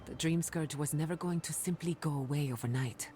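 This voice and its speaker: Low-voiced, calm female envoy played by an actress. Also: brisk, soft background music, close to an everyday voice. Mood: calm, firm. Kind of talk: video game cutscene dialogue.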